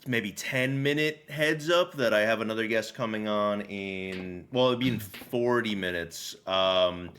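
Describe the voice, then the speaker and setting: Laid-back low-voiced American man. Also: a bit bright, a little hiss or hum. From United States. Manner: easygoing, halting, lots of fillers and laughs. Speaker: streamer host. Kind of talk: casual chat.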